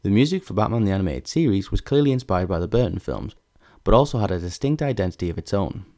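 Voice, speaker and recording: A man's voice: lowish voice, animated, fast talker, a little mellow, faint music behind. A man reading scripted narration as the narrator of a video essay.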